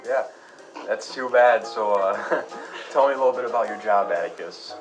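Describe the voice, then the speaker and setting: A low-pitched male voice over music, a man acting a radio show host. He is polite, curious and conversational as he prompts his guests. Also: moderate pace, close to an everyday voice, low-quality recording. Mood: polite, casual. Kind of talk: acted radio interview for a school project.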